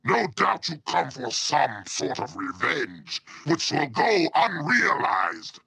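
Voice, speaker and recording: A man voicing a brutal, boastful monster warlord in a video game, with a gravelly growl and menacing, contemptuous delivery. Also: fairly high pitch, wildly swooping pitch, pushed and bright, exaggerated voice. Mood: contemptuous threat. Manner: menacing, contemptuous, slow, drawn-out threats. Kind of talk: video game villain voice acting.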